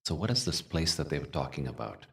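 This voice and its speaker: A man leading a guided meditation as a teacher, speaking gently in short phrases with long pauses. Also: extremely low pitch, sing-song, gravelly, recorded from a distance. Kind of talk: guided meditation with spiritual talk.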